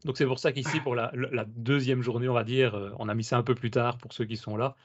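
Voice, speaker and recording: A man's voice: mid-range voice, steady tone, fast, muffled recording. A man hosting a casual podcast interview over a video call. He talks in a loose, chatty way, restarting his sentences as he goes. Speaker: podcast host.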